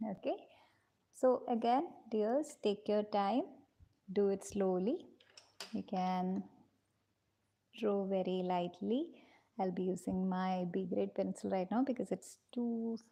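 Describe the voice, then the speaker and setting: A woman teaching a live online drawing class, gentle and patient, calling her students dears and guiding them step by step. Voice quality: low-pitched voice, in her own words.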